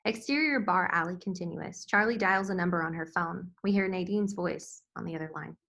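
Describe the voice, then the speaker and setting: Bright, creaky woman narrator. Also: on the low side, a little fast. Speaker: stage-directions narrator. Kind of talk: scripted screenplay narration.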